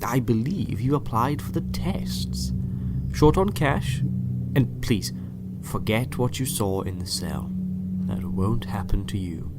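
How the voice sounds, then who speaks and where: A man's voice: fairly low pitch, animated, slow. A man acting a sinister, urbane doctor in an audio drama, polite, theatrical and condescending, with cool menace. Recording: loud music behind the voice. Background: loud music.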